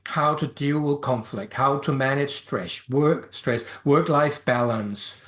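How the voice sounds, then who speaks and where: A middle-aged man from Denmark with a Danish accent, speaking English as a second language while hosting a solo podcast as a life coach. He talks in a halting, reflective way and fills his pauses with "um" and "uh". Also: medium pitch, fairly bright tone. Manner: hesitant, many fillers and restarts.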